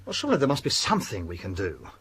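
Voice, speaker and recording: Worried gent, husky, very animated, earnest and polite, radio drama. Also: male voice, fairly low pitch, racing, exaggerated voice. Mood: anxious, firm. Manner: polite, urgent, troubled. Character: earnest, anxious English gentleman, devoted nephew.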